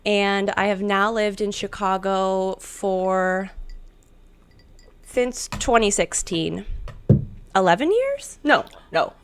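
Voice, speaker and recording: A woman in her thirties from southern Idaho, co-hosting a casual chat in an unhurried, giggly way. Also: medium pitch, lively intonation, slight background noise. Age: 30s. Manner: unhurried, giggly, loses count mid-sentence. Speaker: co-host, knitwear designer. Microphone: video call.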